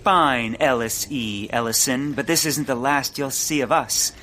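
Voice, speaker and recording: An actor playing a goofy, naive, easily distracted amateur sleuth in a comedic audio drama, with a silly, guileless manner. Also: male voice, mid-range voice, measured pace, sharp tone, a little hiss or hum.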